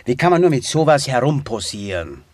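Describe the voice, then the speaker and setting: An actor playing a stern, dismissive political hardliner in a radio play, blunt and dogmatic. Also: male voice, mid-range voice, very animated, fairly quick, a little mellow, dry recording. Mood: disdainful, irritated. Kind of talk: stage-like radio play acting.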